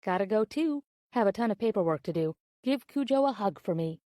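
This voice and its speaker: Actress doing a friendly coy woman reading her emails out, audiobook style, soft mellow tone, really dry sound. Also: mid-range voice, animated, brisk, dry recording, natural voice. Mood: coy, chatty. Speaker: audiobook narrator. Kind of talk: scripted audiobook narration.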